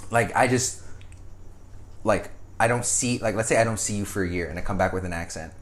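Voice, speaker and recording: A young man co-hosting a casual podcast, animated, swearing casually and laughing often. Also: on the low side, a little fast. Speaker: podcast host. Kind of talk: casual chat.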